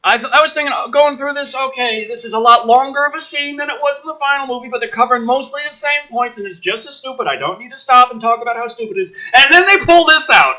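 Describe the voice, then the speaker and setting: A man doing a ranting commentary as he reads through a script, exasperated and heavily profane, breaking into shouted outbursts. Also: very high-pitched, fast talker, hardly any pauses, low-quality recording. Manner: swings between muttered reading and shouted outbursts. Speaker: host of a gaming and film commentary channel.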